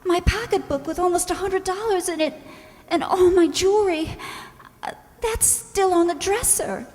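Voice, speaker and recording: A woman playing an anxious, fragile woman in distress in an old-time radio drama, speaking in a halting, fretful way. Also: very high-pitched, darkish tone. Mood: dazed, halting. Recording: reverberant hall, stage-like sound.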